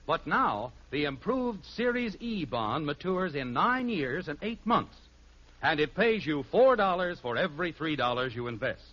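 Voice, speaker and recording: A man's voice: a little high, fairly bright tone, lo-fi sound. A man with an American accent announcing in a scripted 1950s radio style on an old broadcast recording.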